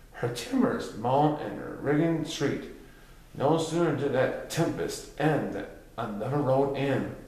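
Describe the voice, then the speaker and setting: A man reading a written history story aloud as a narrator, occasionally stumbling over a word. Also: medium pitch. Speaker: reader. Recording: very echoey, reverberant room.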